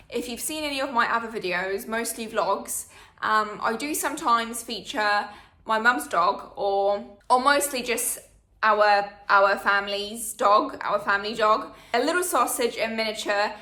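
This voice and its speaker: A woman's voice: a little high, pushed and bright, echoey room. A woman, chatting through a Q&A for her channel with plenty of fillers. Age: 20s. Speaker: vlogger. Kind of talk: casual Q&A chat.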